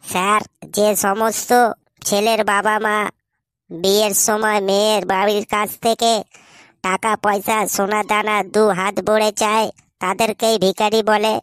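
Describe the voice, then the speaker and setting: A woman's voice: medium pitch, flat delivery, unhurried, smooth, dry recording, clean studio sound, lightly acted. A woman voicing an eager, cheeky young school pupil in a comedy cartoon, earnest and keen to answer. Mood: cocky.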